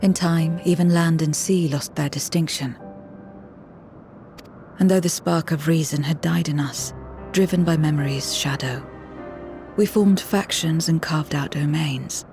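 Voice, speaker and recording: A woman playing an ageless, half-voidsent woman in a video game, grave, formal and measured, proud and detached. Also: low voice, over music. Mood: somber, remembering. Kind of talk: dramatic video game cutscene acting.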